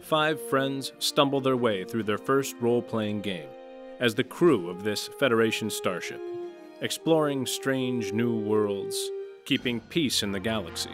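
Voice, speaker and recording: Man, tabletop player and narrator, playful, casual restarts, mid pitch, backing music. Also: unhurried. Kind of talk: scripted intro then casual game chat.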